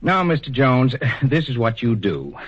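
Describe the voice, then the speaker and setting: An actor playing a patient, kindly bureaucrat in 1940s radio drama, measured and officious with small hesitations. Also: male voice, mid-range voice, sing-song. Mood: patient, official. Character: patient, kindly, bureaucratic male superintendent of the afterlife. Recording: dull, husky old radio recording.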